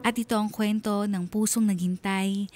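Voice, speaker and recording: An actress playing a reflective, steadfast wife, narrating a scripted radio drama monologue in a solemn, heartfelt, deliberate way. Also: female voice, mid-range voice, fairly even intonation, a little mellow, faint music behind, close to an everyday voice. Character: reflective, steadfast wife narrating her letter of long waiting.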